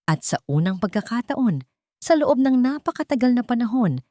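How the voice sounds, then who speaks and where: A woman's voice: fairly high pitch, sing-song, normal speed, dark tone, no echo, clean studio sound. A woman giving a dramatic scripted narration of an audio drama.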